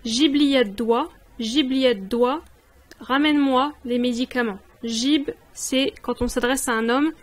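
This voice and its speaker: A woman teaching vocabulary in a voice-over lesson, repeating each word slowly and clearly. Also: sits high. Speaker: language teacher.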